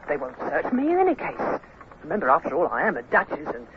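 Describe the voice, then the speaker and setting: A plucky British duchess, who talks very quickly and with lively, theatrical swings of pitch. She is grand and insistent. The sound is a dull, noisy vintage radio drama recording. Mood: insistent, proud. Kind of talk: old-time radio drama acting.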